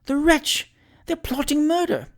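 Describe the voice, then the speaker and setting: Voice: medium pitch, animated, normal speed, voice pushed up, close to an everyday voice. A narrator voicing a nervous, gossipy little woman who exclaims in trembling alarm. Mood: horrified.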